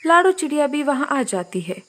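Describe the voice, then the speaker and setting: Woman narrator, kinda high voice, reading a scripted childrens story. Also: sing-song, normal speed.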